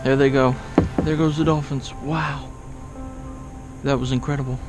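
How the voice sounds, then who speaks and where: A man's voice: flat delivery, moderate pace, over music. A young man vlogging from a kayak on open water, commenting in breathless, awed exclamations through an action camera. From raised in Oklahoma, born in Kansas. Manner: breathless awed exclamations, often rising high. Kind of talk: excited outdoor vlog commentary. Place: kayak on open sea, outdoors. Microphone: action camera mounted on kayak.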